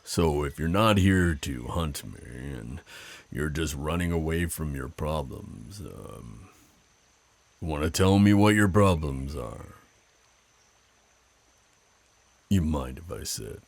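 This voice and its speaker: An extremely deep, husky werewolf, acted by a man for an ASMR roleplay. He is burly and territorial, drawling his teasing threats slowly and possessively. The sound is close and dry. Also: expressive, dry recording, heavily acted. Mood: softer, curious. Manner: slow, drawling, taunting and possessive.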